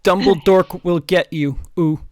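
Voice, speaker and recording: A man putting on a loud sneering wizard professor. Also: high voice, fairly even intonation, measured pace, dry recording, clean studio sound, pitched above the performer's own voice. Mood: taunting. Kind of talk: comic fan fiction read-aloud.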